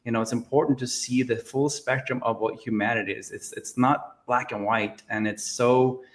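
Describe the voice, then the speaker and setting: A man's voice: mid-range voice, fairly even intonation, moderate pace. A man from Norway speaking in a casual panel discussion, earnest and explanatory. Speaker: guest hypnotist on a spiritual talk show.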